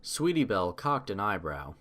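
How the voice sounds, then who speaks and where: A man's voice: on the low side, big pitch swings, moderate pace, a bit bright. A man reading scripted audiobook narration as the storyteller.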